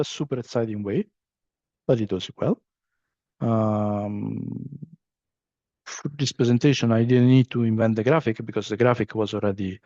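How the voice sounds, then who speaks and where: A man's voice: mid-range voice, darkish tone, no room sound, lo-fi sound. A man with an Italian accent, a non-native English speaker, giving a training session with many fillers and restarts. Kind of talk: online training presentation.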